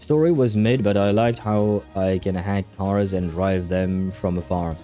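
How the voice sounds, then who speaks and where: A man hosting a casual livestream, talking in a rambling, halting way as he thinks aloud. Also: sits low, dark tone. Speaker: livestream host. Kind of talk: casual livestream chat over a game. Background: faint music.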